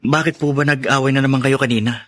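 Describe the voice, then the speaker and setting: A man's voice: mid-range voice, fairly even intonation, fast, a bit bright, lightly acted. A man playing an earnest, troubled schoolboy in a radio drama, polite and plaintive. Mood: concerned. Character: earnest schoolboy son, troubled by quarrelling parents.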